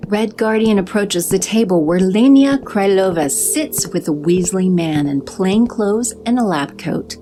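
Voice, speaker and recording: A woman narrating an audio drama in a steady, descriptive way. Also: medium pitch, a little slow, few pauses. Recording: music bed under narration.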